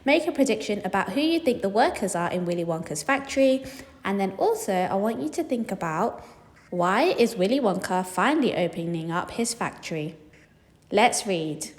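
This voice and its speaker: Teacher lady reading a kids book out loud, clear, lots of up and down in her voice. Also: mid-range voice, normal speed. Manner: clear, expressive storytelling. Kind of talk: children's book read-aloud.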